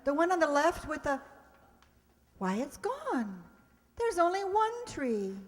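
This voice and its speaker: Wry female English teacher actress, high voice, theatrical radio comedy style, big reverberant hall. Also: very animated, slow pace, full character voice. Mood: bewildered. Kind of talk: old-time radio sitcom acting.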